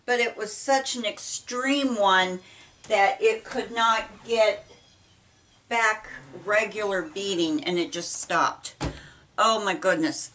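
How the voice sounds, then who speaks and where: A middle-aged woman with a Midwestern American accent, chatting casually while she folds laundry, talking haltingly with many ums and restarts. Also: mid-range voice, a bit bright. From United States. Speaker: vlogger. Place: small indoor laundry room. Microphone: camera set apart from her. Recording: voice a bit far from mic.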